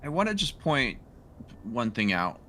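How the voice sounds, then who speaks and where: A man's voice: mid-range voice, sing-song, unhurried, bright, forceful tone, slight background noise. A man talking casually as a player in a tabletop roleplaying game.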